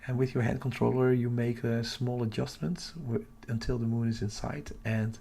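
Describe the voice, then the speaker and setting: Hushed, creaky-voiced man livestreaming. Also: little pitch movement, normal speed. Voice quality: hushed, half-whispered. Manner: keeping voice low for sleeping household. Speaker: astronomy livestream host. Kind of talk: casual live chat with viewers.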